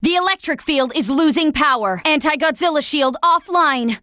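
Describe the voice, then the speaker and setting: A high, female voice plays a military operations officer in a video game. She delivers announcements in a clipped, formal and urgent way, with firm command. The sound is close and dry, free of room reflections. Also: lively intonation, moderate pace, bright, forceful tone, no echo. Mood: alert, tense. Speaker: game voice actor.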